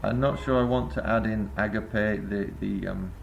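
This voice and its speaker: Very gravelly low-voiced man musing. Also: steady tone, moderate pace, a little hiss or hum. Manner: rambling, hesitant, many filler sounds. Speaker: vlogger and busking singer. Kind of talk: reflective spoken essay to camera.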